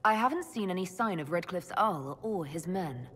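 A woman's voice: fairly low pitch, lively intonation, moderate pace, a bit bright, breathy edge. An actress playing a young elven mage heroine in a video game, composed, direct and skeptical in her questioning. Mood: cool, firm. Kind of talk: video game cutscene acting. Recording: hall reverb, faint background noise.

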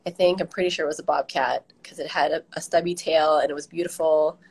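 A woman's voice: low-pitched, fairly even intonation, quick pace, darkish tone, slightly rough, rough recording. A middle-aged woman with an American accent answering interview questions reflectively over a video call from a room at home. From Southern California, US. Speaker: interview guest, garden director. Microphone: video call, device at arm's length.

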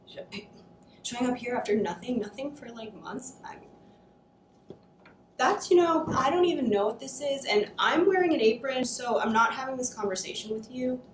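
A young woman acting a hurt, sarcastic daughter who works at a coffee shop, halting and awkward in a naturalistic dramatic scene. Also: a little high, animated, lightly acted. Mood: upset, incredulous. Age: young adult. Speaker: actor. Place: indoor studio against blue backdrop. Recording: roomy, distant mic, noticeable noise.

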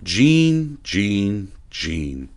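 A man's voice: on the low side, sing-song, drawn-out words, darkish tone, full character voice. A man playing a menacing cult-believing asylum authority in an audio drama, patronizing and full of veiled threat. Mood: patronizing menace.

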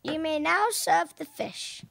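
Miss Sophie, high creaky genteel old lady. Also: slow pace, fairly bright tone, heavily acted. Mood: gracious, expectant. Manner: gracious, formal, commanding. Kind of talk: amateur radio play acting.

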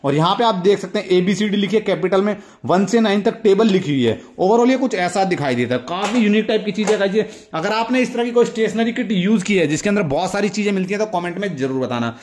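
A man's voice: high voice, fast, hardly any pauses, a little mellow, a little hiss or hum. A man with an Indian accent hosting a casual hands-on toy demonstration, chatty and repetitive, talking straight to the viewers. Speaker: toy review video host.

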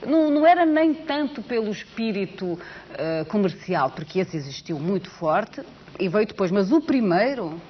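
A woman's voice: medium pitch, moderate pace. A woman in her late thirties from Portugal, with a Portuguese accent touched by Brazilian speech, telling stories expressively as a guest on a studio interview show. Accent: Portuguese, with some Brazilian touches. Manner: lively, expressive storytelling with broad gestures. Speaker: singer and songwriter, guest. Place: TV studio set, seated on a sofa. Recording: dull old broadcast tape with faint hiss.

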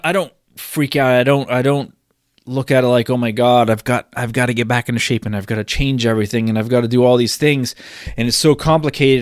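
Hoarse man hosting a podcast. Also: medium pitch, dry recording, clean studio sound. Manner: rambling, with frequent restarts and throat clearing. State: hoarse from a cold. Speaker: podcast host. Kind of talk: casual solo podcast talk.

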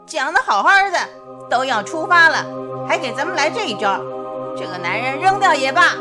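A blunt middle-aged mother scolds in a radio drama. Her voice is high and very animated, scornful and colloquial, with music behind it. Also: moderate pace. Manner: scolding, colloquial, rhetorical questions.

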